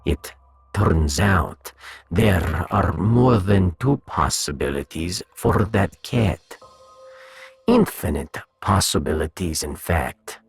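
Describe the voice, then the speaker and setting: A man voicing a pompous genius cat in a science fiction audiodrama, deliberate and superior, savoring every pause. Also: low-pitched, expressive, vocal fry, slightly rough, soft background music, full character voice. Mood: smug lecturing. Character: suave, superior male genius cat, menacingly clever.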